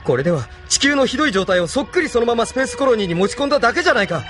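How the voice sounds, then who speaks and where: Idealistic young anime rebel, intense, troubled, pitch all over the place. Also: male voice, very animated, rapid-fire, a bit bright, soft background music, full character voice. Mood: indignant. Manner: intense, questioning. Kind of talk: anime audio drama acting.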